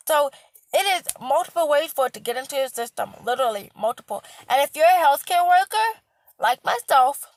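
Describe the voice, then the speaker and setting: A young woman speaks in a high voice that is hoarse and cracking. She rants bluntly and emphatically, repeating points and swearing as she lectures her viewers. The sound is dry and close, with no room around her. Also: no echo. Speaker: healthcare worker. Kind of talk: casual vlog rant.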